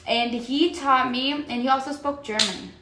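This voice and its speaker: Podcast co-host: young Brazilian woman, native Portuguese speaker, high voice, animated and giggly, roomy sound with some noise. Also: normal speed. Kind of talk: casual chat between friends. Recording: roomy echo with faint background noise.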